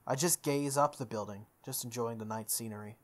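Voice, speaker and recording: A young man reading a visual novel aloud as a let's play host, breaking into casual animated commentary. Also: medium pitch, brisk.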